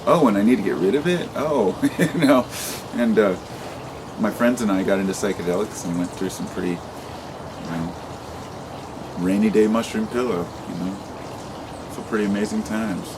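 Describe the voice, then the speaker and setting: A man's voice: lowish voice, normal speed, pauses often, background noise. A man in his late thirties talking freely in a vlog monologue, rambling and impassioned, swearing often and restarting his sentences. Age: 30s. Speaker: vlogger. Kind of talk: unscripted monologue to camera.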